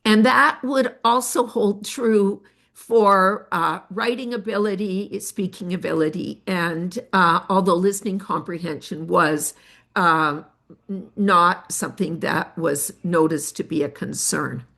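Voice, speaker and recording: Slow, gravelly older woman researcher. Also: lowish voice, steady tone, a bit bright. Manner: measured, with frequent filler pauses. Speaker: education researcher presenting study results. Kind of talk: academic presentation.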